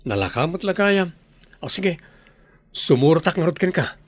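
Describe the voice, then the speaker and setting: A man acting an earnest, higher-voiced young Ilocano villager. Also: animated, unhurried. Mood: curious. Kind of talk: Ilocano radio drama acting.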